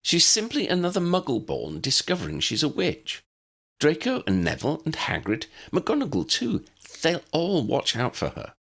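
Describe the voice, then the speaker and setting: A man's voice: on the high side, wildly swooping pitch, normal speed, a bit bright, voice pushed up, exaggerated voice. An earnest and bossy young witch from a storybook , acted out by a man. The voice is lifted and swoops emphatically, clever and insistent. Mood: insistent, scolding. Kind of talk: storybook character acting.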